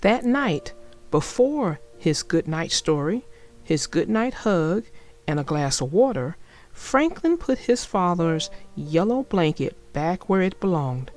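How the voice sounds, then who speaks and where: A woman narrating a children's story aloud in an expressive storytelling manner. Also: fairly low pitch, slow.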